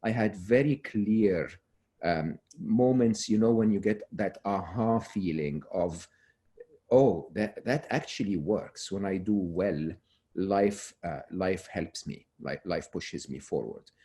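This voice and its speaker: Man in his 50s, very low voice, earnest guest on a remote call, trailing off softly at ends of points. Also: slow. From Egypt. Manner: earnest, frequent restarts, drops voice to close points. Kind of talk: relaxed interview talk.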